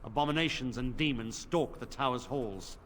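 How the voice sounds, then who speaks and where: An actor playing a stern middle-aged knight commander in a video game, grave and commanding. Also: male voice, medium pitch, moderate pace, a bit bright, slight background noise, natural voice. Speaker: game character.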